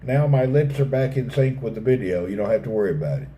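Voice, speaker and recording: A middle-aged man with a Southern American drawl hosts his own live stream, joking with viewers and cussing casually. His voice is mellow and dark, not bright. A faint background hum runs under his talk. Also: medium pitch, very animated, fast. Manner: folksy, joking, reads chat aloud, swears casually. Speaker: livestream host.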